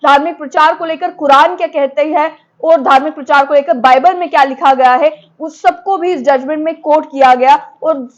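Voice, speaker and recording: A woman's voice: sits high, fairly even intonation, moderate pace, hardly any pauses, muffled recording. A woman presenting explanatory news commentary, mixing in English legal terms as she explains point by point.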